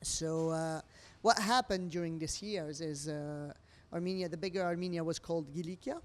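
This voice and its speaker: A woman's voice: low voice, normal speed. A middle-aged woman from Lebanon, a restaurant owner talking expressively in imperfect English during an interview over a meal. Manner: expressive storyteller with non-native English phrasing.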